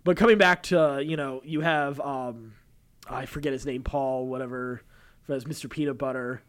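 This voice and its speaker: A man co-hosting a podcast in casual chat, speaking in a halting, digressive way with chuckles. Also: mid-range voice, steady tone, clean tone. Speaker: podcast co-host. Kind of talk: casual chat about TV and voice acting.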